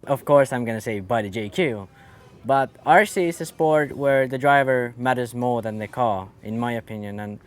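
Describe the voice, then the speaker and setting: A young man of eighteen from Finland, a racer talking casually and quickly with lots of fillers. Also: medium pitch. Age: young adult. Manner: quick, with many fillers and restarts. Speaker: RC car racer. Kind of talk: casual interview.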